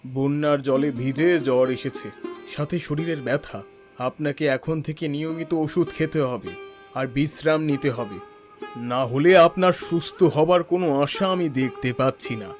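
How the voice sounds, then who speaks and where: A man voicing a scheming, greedy village doctor in a cartoon, with smooth, wheedling bedside talk. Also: fairly high pitch, moderate pace, soft background music, lightly acted. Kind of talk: cartoon voice acting.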